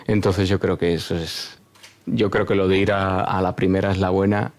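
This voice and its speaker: A man on an online panel, making quick, joking asides and laughing often. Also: low voice, flat delivery, a little mellow. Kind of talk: panel discussion. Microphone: video call.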